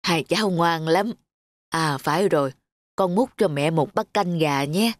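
A woman voicing a gentle, patient, kind-hearted grandmother in a cartoon. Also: deep voice, measured pace, dry recording. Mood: gentle, caring. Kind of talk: cartoon dubbing.

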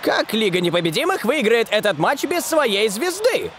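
Excitable, high-pitched football commentator. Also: male voice, normal speed, slight background noise, heavily acted. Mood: excited. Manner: animated, dramatic. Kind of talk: cartoon sports commentary.

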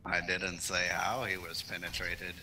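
A man's voice: sits low, flat delivery, measured pace, background noise. A man chatting casually as a gaming partner, joking and teasing as he talks. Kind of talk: casual gameplay chat.

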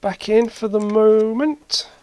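Slow-paced, hesitant repair narrator. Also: mid-range voice, a little mellow. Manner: hesitant, thinking aloud. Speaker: repair video maker. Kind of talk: casual hands-on repair commentary. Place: indoor electronics workshop.

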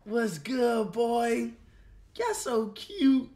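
A young man livestreaming from his bedroom on a headset mic, loud and excitable, often yelling at his chat. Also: extremely high pitch, very slow. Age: young adult.

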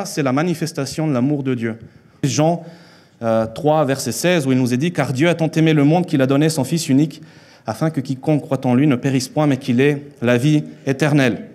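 A man's voice: a little high, a little mellow. Mexican-born man preaching earnestly. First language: Spanish. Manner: earnest, repeats phrases for emphasis, slows down on key lines. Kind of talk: sermon. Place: church hall with a stage. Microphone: handheld mic.

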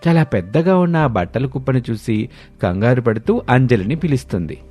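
A man's voice: mid-range voice, big pitch swings, fairly quick, dark tone. A man reading scripted narration for a family story as its narrator. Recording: music behind the voice.

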